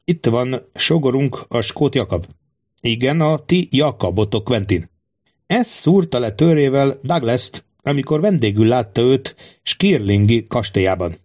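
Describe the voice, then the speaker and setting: A man's voice: medium pitch, expressive, darkish tone, pitched above the performer's own voice, natural voice. A man playing a suspicious, scheming king in an audiobook, speaking in a theatrical, musing and fatherly way. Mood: sly, musing.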